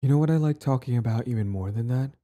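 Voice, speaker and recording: A man's voice: medium pitch, quick pace, dark tone, natural voice. A young man acting a cocky, possessive and smitten bully in an audio roleplay spoken to the listener. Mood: flustered, pleading. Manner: stammering, swaggering, profane.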